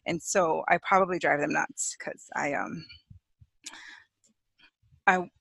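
Woman from Chicago, bright tense voice, dry close sound, laughs often, pauses with fillers. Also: low voice, steady tone, vocal fry, dry recording. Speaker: guest, clinical psychologist and sex therapist. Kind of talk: casual interview chat. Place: home office in Hawaii.

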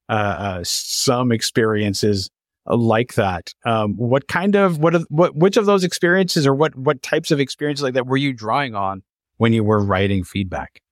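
A man speaking as a podcast host in a casual interview, with halting, searching phrasing. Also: medium pitch, dry recording, clean studio sound.

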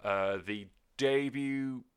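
A man's voice: medium pitch, extremely slow, clear, resonant tone. A man talking casually and off the cuff as a music reviewer, rambling, sweary and self-deprecating. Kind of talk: casual unscripted review chat.

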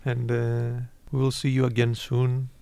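A man's voice: medium pitch, monotone, unhurried, creaky, warm tone, clear, resonant tone, no room sound. A young man hosting a student radio show in English, which is not his first language, talking in a hesitant way with many fillers. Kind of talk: casual chat.

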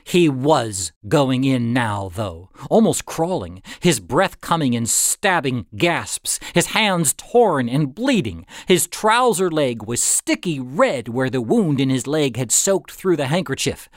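A man reads a short story aloud in a dramatic, deliberate style. His pitch moves widely from phrase to phrase, and he takes his time, leaving pauses for effect. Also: sing-song, no echo, studio quality. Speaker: audiobook narrator. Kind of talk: dramatic scripted story narration.

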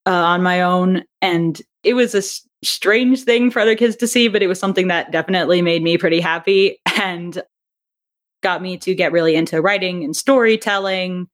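A woman with a slightly low voice talks casually as a podcast guest. She rambles with some hesitation, often rephrasing her thoughts midway. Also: normal speed. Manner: hesitant, rambling, self-correcting. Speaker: podcast guest, audio drama creator. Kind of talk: casual interview chat.

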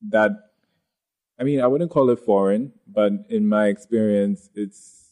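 A man's voice: lowish voice, a little slow, mellow. A man from Ghana in a casual discussion, talking earnestly and restarting often as he searches for words.

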